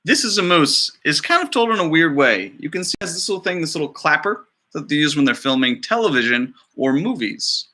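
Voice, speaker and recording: A man's voice: a little high, expressive, a little fast, hardly any pauses, bright, forceful tone. A man, a school librarian, talking casually and encouragingly to young children as he introduces a story.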